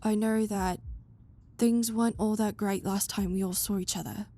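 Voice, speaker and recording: A woman's voice: mid-range voice, fairly even intonation, normal speed, mellow, lightly acted. A woman voicing a stern, bossy but caring young woman who scolds someone she cares about. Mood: remorseful. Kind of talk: fan audio roleplay.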